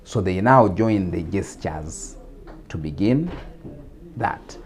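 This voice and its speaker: A Ugandan man with a low, mellow voice talks through his ideas at a measured pace, often repeating a word before going on. His English carries a Ugandan accent. Faint noise sits under the recording. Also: animated. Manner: measured, explanatory, with repetitions and fillers. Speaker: guest speaker on religious talk show. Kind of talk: explanatory talk show discussion.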